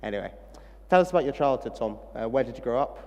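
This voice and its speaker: A man's voice: mid-range voice, animated, quick pace, darkish tone, in a large hall, dull audio. A man interviewing a guest at a student event, asking questions in a jokey, teasing way. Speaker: interviewer, host.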